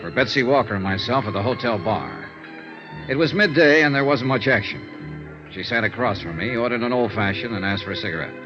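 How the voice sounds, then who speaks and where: An American actor playing a world-weary but sympathetic insurance investigator in a vintage radio detective drama, terse and dry in delivery. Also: male voice, mid-range voice, brisk, lightly acted. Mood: wry, reflective narration. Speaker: detective narrator and lead. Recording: dull vintage radio recording with music.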